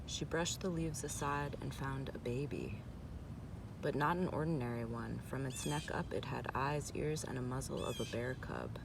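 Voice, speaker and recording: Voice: mid-range voice, moderate pace, creaky. A reader telling a folktale aloud in storybook narration. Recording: noisy, distant microphone.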